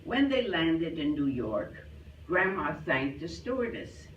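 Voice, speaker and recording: Slow, animated man telling jokes in an echoey hall. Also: a little high, highs cut off. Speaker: joke teller at a club show. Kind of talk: telling scripted jokes to a live audience. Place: club hall, live performance. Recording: echoey hall, distant, faint noise.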